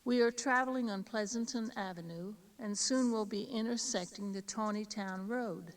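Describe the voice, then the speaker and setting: Female guide voice with noticeable fry, measured instructional read, slightly distant on an eighties cassette. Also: medium pitch. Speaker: tour guide giving driving directions. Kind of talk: scripted instructional narration. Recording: 1980s cassette tape, slightly distant mic.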